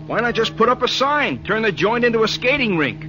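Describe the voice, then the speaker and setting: An actor playing a put-upon young clerk in a 1940s radio play, whining and wisecracking in slangy New speech. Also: male voice, high-pitched, sing-song, a little fast, over loud music. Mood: aggrieved. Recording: muffled vintage 1940s radio recording.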